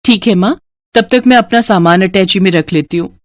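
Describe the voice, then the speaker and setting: A woman's voice: mid-range voice, normal speed, no room sound, clean studio sound, lightly acted. A woman voicing a dutiful, eager young village woman in a story. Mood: earnest.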